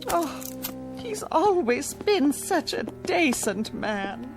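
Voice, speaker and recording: A woman's voice: high-pitched, big pitch swings, slow pace, over music, heavily acted, low-quality recording. A woman playing a kindly, flustered boarding-house landlady in a radio drama. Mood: pitying.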